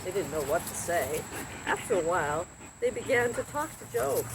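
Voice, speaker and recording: A woman's voice: fairly low pitch, normal speed. Woman reading a children's story, very noisy recording. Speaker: Bible story time host and reader. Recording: very noisy recording with steady background noise.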